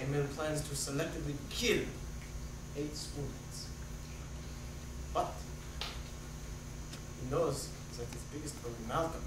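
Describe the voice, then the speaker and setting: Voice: medium pitch, natural voice. An amateur actor playing a pompous, mystical writer in a stage play, speaking in a grandly philosophical, fatalistic way. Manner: pompous, portentous. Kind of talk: amateur dramatic reading of a play. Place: echoey room, far from the mic. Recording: very echoey, distant, noisy room recording.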